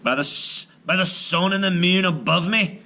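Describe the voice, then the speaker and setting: Storybook giant played by a male reader: bullying, boastful, loud, with a raised pitch and forceful edge. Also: normal speed. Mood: astonished. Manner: shouting, boastful, exclaiming.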